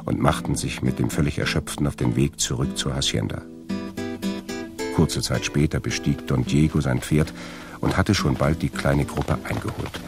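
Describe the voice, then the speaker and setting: Very deep, gravelly male narrator. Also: fairly even intonation, moderate pace. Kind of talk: scripted story narration for radio drama. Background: plucked guitar music.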